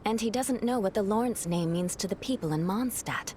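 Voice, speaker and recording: A woman's voice: mid-range voice, fairly quick, warm tone, a little hiss or hum, close to an everyday voice. An actress playing a reserved, aristocratic female knight captain who speaks formally and with composure. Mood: reflective. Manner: formal, composed, slightly aloof.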